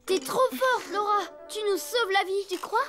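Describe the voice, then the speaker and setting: Very high, excitable elephant kid. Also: female voice, normal speed. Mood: scheming, cheeky. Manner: childlike, expressive. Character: cheeky, excitable young elephant child. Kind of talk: French cartoon dubbing. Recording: music playing behind the voice.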